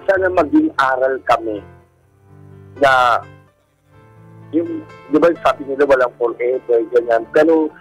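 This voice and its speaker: A man's voice: mid-range voice, unhurried, long pauses, fairly bright tone, slightly rough, soft background music, poor audio. A man with a Filipino accent speaking politely and casually as a guest sharing personal experiences. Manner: polite, using respectful particles. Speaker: guest telling their own story.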